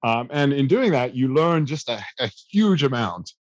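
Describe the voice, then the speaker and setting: A man in his thirties chats about his own past with a loose, self-deprecating ease, sprinkling his answers with fillers and small restarts. His voice sits at an ordinary middle pitch, recorded close in a dry room. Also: moderate pace, dry recording, muffled recording. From South Africa. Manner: relaxed, self-deprecating storyteller with many fillers. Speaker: guest. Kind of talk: casual interview answers.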